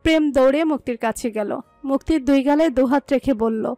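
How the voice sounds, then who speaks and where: A woman narrates a scripted dramatic story. Her voice is high and sits in a fairly dry recording, with soft music behind her. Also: fairly quick, no room sound.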